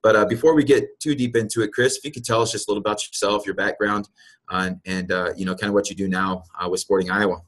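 A male podcast host with a lowish voice rushes through his sentences. He introduces topics casually, hedging with many fillers and restarts. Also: steady tone, fairly bright tone.

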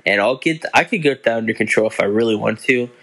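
A young man hosting a casual solo podcast, talking in a halting way with many restarts and stumbles. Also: fairly low pitch, fairly bright tone. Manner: halting, restarts and fillers, slow unhurried delivery. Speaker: podcast host.

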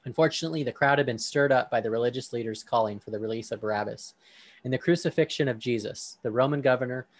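A man hosting a podcast discussion, talking in a relaxed way with frequent ums, then reading a Bible passage aloud. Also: mid-range voice, fast. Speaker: podcast host.

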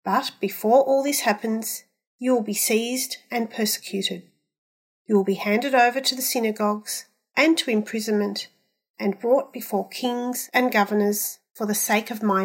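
A woman reading scripture aloud in a measured, deliberate, solemn way. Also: a little high, breathy edge. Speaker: scripture reader.

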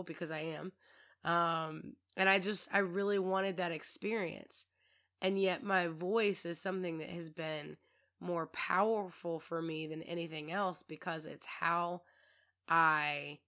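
Hoarse low-voiced woman podcaster. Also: a bit bright. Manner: rambling, chatty, self-interrupting. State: hoarse, losing her voice. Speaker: podcast host. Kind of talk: casual solo podcast monologue.

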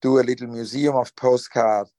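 A man's voice: medium pitch, fairly even intonation, normal speed, dark tone, no echo. A man from Switzerland, answering interview questions hesitantly with many fillers and restarts. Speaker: guest. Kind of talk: conversational interview.

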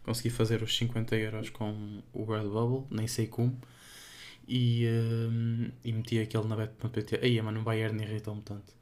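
A man's voice: fairly low pitch, completely flat delivery, normal speed, a little mellow. A young man with a European Portuguese accent chatting casually and informally as a podcast co-host, using plenty of slang. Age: young adult. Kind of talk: casual chat.

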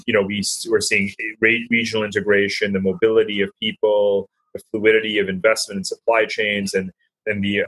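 A man's voice: fairly low pitch, fairly bright tone, low-quality recording. A man speaking as an interview guest and author, giving conversational expert commentary in an articulate, explanatory way with emphatic repetition.

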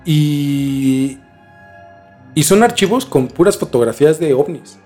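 A man's voice: a little high, animated, takes it slowly, warm tone. Mexican man chatting over music. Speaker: podcast host. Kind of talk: casual storytelling chat. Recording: music bed behind the talk.

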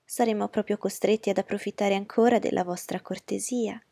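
A woman voicing a fussy, boastful middle-aged mother who speaks in a high, effusive way, with a gravelly, husky voice. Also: fairly even intonation, fairly quick, warm tone, no room sound, studio quality. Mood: gushing. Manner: shrill and effusive.

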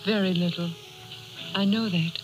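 A woman playing a sad, resigned young fiancée, quiet and mournful, in vintage radio drama style. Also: on the low side, fairly even intonation, moderate pace, lightly acted, low-quality recording. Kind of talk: 1930s radio drama acting. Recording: old radio broadcast over loud music.

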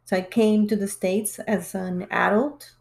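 A woman's voice: mid-range voice, steady tone, unhurried, vocal fry. A woman in her forties from Mexico, a podcast guest talking casually and earnestly explaining her experiences at length. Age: 40s. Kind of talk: casual chat.

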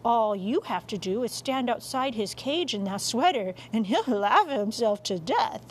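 A wry storybook dog, played by a woman, talks in a sputtering, teasing way. His pitch is animated, with faint noise behind it. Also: unhurried, low-quality recording. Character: wry, sensible male dog, friend to a cat. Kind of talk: audiobook character voice.

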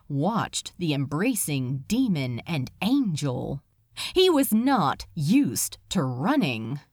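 A woman reading a story aloud as a dramatic narrator, with measured, deliberate phrasing and weighted pauses. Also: mid-range voice, no echo, studio quality. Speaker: fan fiction reader, narrator. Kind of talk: dramatic scripted audiobook narration.

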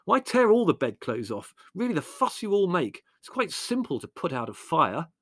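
A man's voice: a little high, big pitch swings, moderate pace, fairly bright tone, no echo, clean studio sound, pitched above the performer's own voice. A man voicing a pompous, lazy grown son in a storybook reading, self-important, complaining and lecturing. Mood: indignant. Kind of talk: acted audiobook character dialogue.

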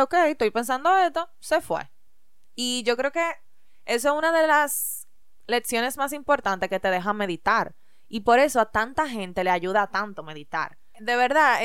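Female co-host with a smooth, clear voice, thoughtful and emphatic, dry close sound. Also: on the high side, dry recording. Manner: reflective, emphatic, gently encouraging. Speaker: podcast co-host. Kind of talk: casual chat.

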